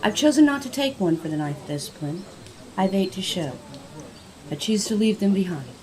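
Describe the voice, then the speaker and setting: Actress as composed female sorceress in fantasy audio drama, mid-pitched, formal yet very varied in intonation. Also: lively intonation, a little mellow, lightly acted. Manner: formal, deliberate presentation. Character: composed female spellcaster formally presenting her spells.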